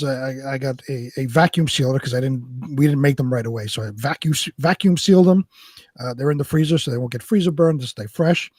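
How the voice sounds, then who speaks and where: A man co-hosting a show in casual chat, telling stories in a rambling, halting way. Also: medium pitch, a little mellow, dry recording. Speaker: co-host of a live online show.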